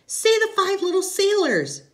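An adult narrator plays a small, eager girl from a storybook. The voice jumps high and loud, shrill and insistent, with a playful, bossy streak. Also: wildly swooping pitch, measured pace, full character voice. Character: excitable little girl, bossy and playful daughter.

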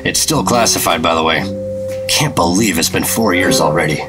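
A hard-boiled 1950s government agent played by a man narrates a radio serial in a very low voice. He is dry, laconic and steady, with prominent music running behind him. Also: normal speed, close to an everyday voice. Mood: wry, tense. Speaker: lead actor narrating. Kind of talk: 1950s radio drama first-person narration. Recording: voice over prominent background music.

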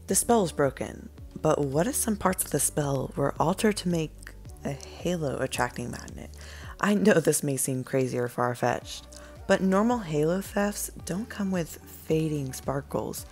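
Level-headed lady sleuth, voiced by a woman, low register, analytical, amateur cartoon, soft music behind. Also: lively intonation, unhurried. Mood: explaining. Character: analytical, level-headed female detective partner. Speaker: voice actor in a fan animated film. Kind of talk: scripted amateur dramatic dialogue.

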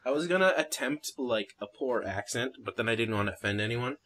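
A man's voice: medium pitch, lively intonation, a little fast, fairly bright tone. A man with a New Jersey accent hosting a casual gaming podcast, rambling through fillers and restarts. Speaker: podcast host.

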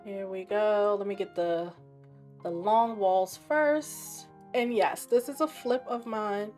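A woman with a raspy voice and a sore, scratchy throat, chatting casually while narrating her gameplay and thinking aloud. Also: medium pitch, takes it slowly, a little mellow, faint music behind. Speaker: game streamer.

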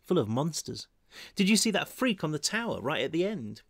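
A man's voice: a little high, sing-song, moderate pace, fairly bright tone, no room sound, studio quality, full character voice. A man voicing a shaken, grim teenage boy in an audiobook, with a subdued and wry manner.

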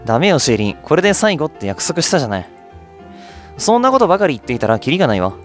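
A man voicing a gentle, polite young woman with a caring, motherly manner in a game. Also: fairly high pitch, big pitch swings, very fast, faint music behind. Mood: firm, chiding.

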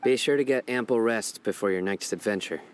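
An actor playing a calm, serious young warrior judge in a video game, speaking in a measured, earnest, reserved way over music. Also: male voice, on the low side, a little hiss or hum, natural voice. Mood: calm, reflective. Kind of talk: scripted video game character dialogue. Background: background game music.

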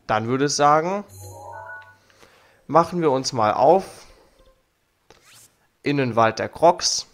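A young man with a slight cold talks slowly and calmly through his gameplay at a home mic, sometimes reading the game text aloud. His voice sits at a middle pitch, and faint game music plays underneath. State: has a cold. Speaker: let's play host. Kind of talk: casual gameplay commentary. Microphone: studio mic on arm.

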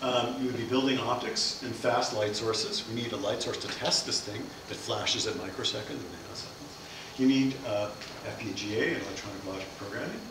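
A man's voice: lowish voice, a little fast, far from the mic. A middle-aged man giving a science cafe lecture, explaining ideas by asking questions and answering them. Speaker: physics lecturer. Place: seminar room with projector screen. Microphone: far camera mic. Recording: echoey room recorded from afar, noisy.

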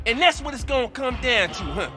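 An actor playing a boastful, fast-talking pimp in a video game, with a showy, slangy, melodramatic manner. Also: male voice, very high-pitched, lively intonation, over music. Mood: indignant. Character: flamboyant, boastful, fast-talking male pimp and club boss. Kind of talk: video game cutscene acting.

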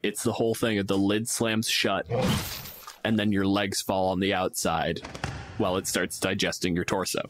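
A man's voice: deep voice, level tone, moderate pace, fairly bright tone, no room sound. A man co-hosting a podcast, chatting casually and bluntly with plenty of swearing as he argues his opinions. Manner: blunt, profane. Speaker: podcast co-host and game master. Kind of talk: casual opinionated debate chat.

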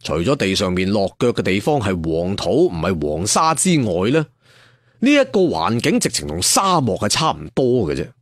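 A man's voice: on the low side, big pitch swings, normal speed, dry recording, studio quality. A man telling a serial story in a theatrical, colloquial traditional storyteller style. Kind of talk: dramatic serial storytelling narration.

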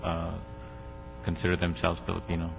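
Man, very low voice, halting questions, council member, dull sound with faint hum. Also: completely flat delivery, vocal fry. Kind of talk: spontaneous questioning at a public meeting. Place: council meeting room.

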